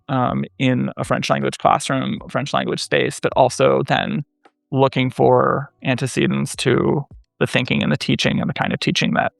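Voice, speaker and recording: Man, gravelly fry, flat even delivery, thoughtful academic guest, dry close mic. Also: mid-range voice, no room sound. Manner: thoughtful, many "um" pauses, measured. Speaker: podcast guest, French studies scholar. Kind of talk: academic interview conversation.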